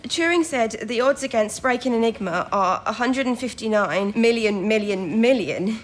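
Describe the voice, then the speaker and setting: A woman's voice: fairly high pitch, expressive, normal speed, close to an everyday voice. A young woman acting in an audio drama as an anxious, eager nineteen-year-old codebreaking recruit, earnest and deferential. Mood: eager, focused.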